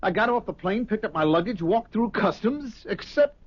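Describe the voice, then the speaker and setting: An actor playing a distraught, respectable middle-aged husband in a 1970s radio drama, husky, agitated and bitter. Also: male voice, high voice, animated, normal speed, no echo, highs cut off. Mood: agitated, baffled.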